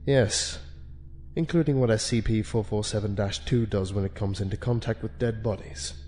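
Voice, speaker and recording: A man playing a calm, menacing senior official of a secret committee, speaking in a measured, condescending, authoritative way in a dramatic audio-log monologue. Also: lowish voice, natural voice. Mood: grave, intense.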